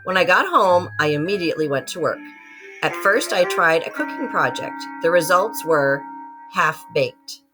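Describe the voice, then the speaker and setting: A woman narrates a children's storybook in a very lively, swooping voice. She acts out the story as she reads, with a musical soundscape clearly playing behind her. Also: lowish voice, normal speed, music in the background, low-quality recording. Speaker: librarian reading a picture book aloud to students.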